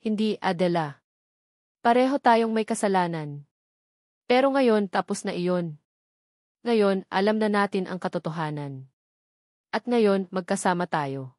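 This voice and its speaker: A woman's voice: mid-range voice, unhurried, pauses often, a little mellow, no room sound, studio quality, lightly acted. A woman voicing an earnest, protective male doctor in a dramatic story, with an intense, pleading delivery. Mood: tender, remorseful.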